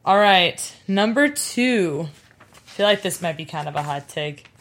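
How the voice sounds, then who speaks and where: Wanita bersuara agak rendah yang santai. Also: female voice, fairly low pitch, lively intonation, measured pace, fairly bright tone. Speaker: podcast co-host. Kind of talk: casual chat.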